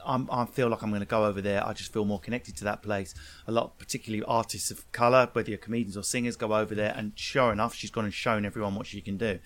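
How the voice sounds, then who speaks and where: A man's voice: fairly low pitch, steady tone, fast talker. A man with an Essex accent hosting a podcast, chatting casually with guests and swearing freely. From England. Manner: loud laughing, swearing, playful put-on voices. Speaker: podcast host. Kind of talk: casual interview chat.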